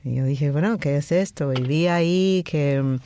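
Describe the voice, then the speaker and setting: A woman from New York with an American accent, a native English speaker, speaking Spanish as a guest in a relaxed interview, often restarting her words. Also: deep voice, moderate pace, a little hiss or hum. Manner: relaxed, hesitant, restarts words, laughs easily. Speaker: guest, music researcher and journalist. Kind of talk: conversational interview.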